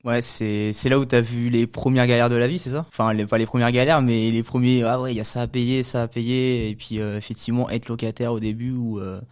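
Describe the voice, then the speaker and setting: A man's voice: mid-range voice, little pitch movement, brisk, hardly any pauses, darkish tone, smooth. A man hosting a casual interview, asking long, informal questions that reword what the guest said. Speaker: podcast host, interviewer.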